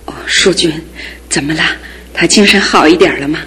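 A woman's voice: normal speed, lightly acted, low-quality recording. An actress playing a grieving older mother, tired and sighing, in a radio drama with music behind. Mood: worried. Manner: tired, sighing and low-voiced.

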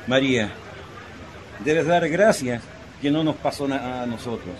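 A man's voice: medium pitch, animated, unhurried, lightly acted. A man playing an earnest, poor Chilean shantytown husband in an emotional radio drama. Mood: solemn, consoling. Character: desperate poor shantytown husband, consoling and resolute. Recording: noisy, with crowd ambience behind.

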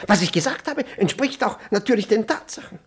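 An actor playing a flustered, pompous middle-aged diplomat, evasive and irritable, stammering and repeating himself in a 1960s German radio play. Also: male voice, sits high, lively intonation, a little fast, husky. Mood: blustering. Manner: stammering, repetitive, blustering.

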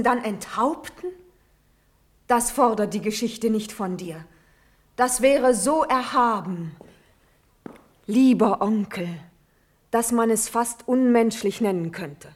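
Slow, solemn young noblewoman, pleading. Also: fairly high pitch. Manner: solemn, elevated, measured declamation. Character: devoted, brave young noblewoman pleading for her cousin. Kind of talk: classical verse stage drama acting.

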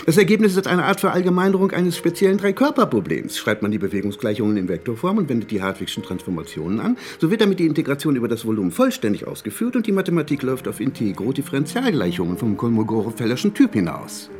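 A man's voice: mid-range voice, sing-song, quick pace, hardly any pauses, a little mellow, faint music behind, close to an everyday voice. An actor playing a harried, irritable male mathematician in a German radio drama, given to exasperated muttering and lecturing. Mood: irritated lecturing.

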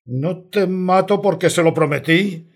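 A man's voice: high voice, unhurried. A man from Spain acting an embittered, vengeful rural man in a radio play, terse and brooding. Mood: angry accusing. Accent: Spanish, from Spain.

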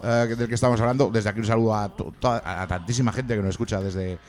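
A man's voice: lowish voice, fairly even intonation, fast, a little mellow. A man hosting a rock podcast interview, talking in a chatty way with many fillers and restarts. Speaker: podcast host. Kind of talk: casual radio interview.

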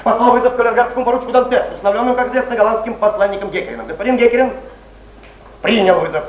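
A man's voice: extremely high pitch, rapid-fire. A man playing an agitated poet with wounded honour in a stage drama, declaiming with passion. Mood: outraged. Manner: impassioned, declamatory. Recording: echoey, muffled 1970s theatre recording, faint hiss.

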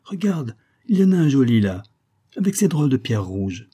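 A man's voice: medium pitch, big pitch swings, moderate pace, warm tone, husky, voice pushed up. A man voicing a stubborn, nagging tourist wife in a plaintive, exclamatory manner. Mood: excited.

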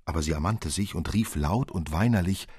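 A man narrating a literary fairy tale in an expressive, theatrical storytelling manner. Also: low-pitched, brisk, husky, no room sound, clean studio sound. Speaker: audiobook narrator.

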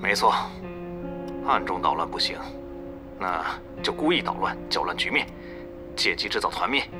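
A man's voice: sits low, animated, moderate pace, over music. An actor playing a cool, calculating male guild leader in an audio drama. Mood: cold, plotting.

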